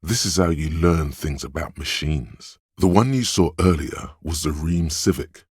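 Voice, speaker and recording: A man voicing a brooding, dryly wry inner voice that narrates a detective's thoughts in a video game, gravelly and husky, with measured, deliberate delivery. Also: rumbling low, no echo, clean studio sound, exaggerated voice. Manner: measured, deliberate, literary second-person narration.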